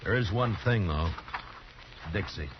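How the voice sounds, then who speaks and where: An actor playing a steady, world-weary American marshal, firm but kind, in a 1950s western radio drama. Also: male voice, on the low side, measured pace, background noise. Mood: calm, reassuring. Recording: muffled old radio recording, music bed.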